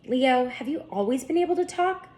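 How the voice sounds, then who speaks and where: A woman voicing an excitable, curious young schoolgirl in a storybook, eager and earnest. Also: high-pitched, normal speed, pitched above the performer's own voice. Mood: amazed. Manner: eager, wide-eyed, earnest.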